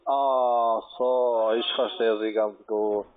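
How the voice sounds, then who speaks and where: A man with a foreign accent working as a call-centre agent, speaking in halting, choppy phrases. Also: mid-range voice, steady tone. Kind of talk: halting sales phone call. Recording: tinny narrow-band phone line.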